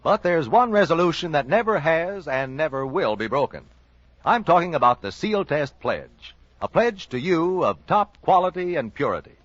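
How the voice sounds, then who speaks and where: A man's voice: fairly high pitch, very animated, moderate pace, a bit bright, no room sound. A man reading a scripted 1940s radio advertisement as the commercial announcer. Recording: dry, dull old radio recording.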